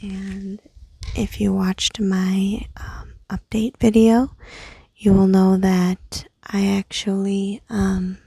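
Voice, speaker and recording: A young woman whispering a guided meditation outdoors at night into a small microphone, soft and unhurried with fillers. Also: medium pitch, level tone, gravelly, husky, no echo. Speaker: meditation host. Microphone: small handheld microphone.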